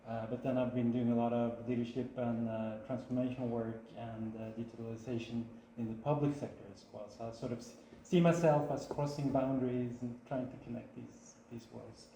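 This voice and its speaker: A man's voice: mid-range voice, flat delivery, brisk, gravelly, dark tone. A man introducing himself on a panel, friendly and fluent. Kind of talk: live panel discussion. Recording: echoey room, faint noise.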